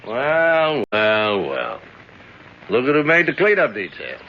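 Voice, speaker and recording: An actor playing a fair-minded older prison guard, folksy and advising, in a radio drama. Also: male voice, mid-range voice, wildly swooping pitch, takes it slowly, a little hiss or hum, exaggerated voice. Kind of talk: 1970s radio drama acting. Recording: dull old radio broadcast.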